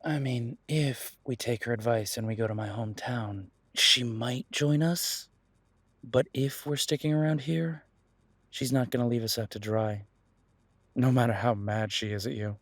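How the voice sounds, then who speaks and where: Casting: male actor as protective werewolf detective boyfriend, soft reassuring delivery, mid-pitched voice, very dry intimate sound. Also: fairly even intonation, moderate pace, no echo, lightly acted. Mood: worried, reassuring. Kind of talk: intimate roleplay audio drama.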